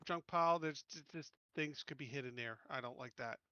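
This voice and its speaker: A man's voice: medium pitch, brisk, bright, forceful tone, clean tone, no room sound. A man playing a tabletop roleplaying game, casually talking through tactics, recorded slightly dull on a close mic. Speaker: tabletop RPG player.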